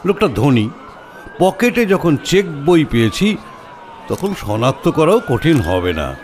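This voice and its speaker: An actor playing a pompous, arrogant, portly police inspector in an audio drama, with a booming, blustery voice and haughty delivery. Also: male voice, big pitch swings, noisy background. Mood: self-assured. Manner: haughty, theatrical, drawn-out questioning.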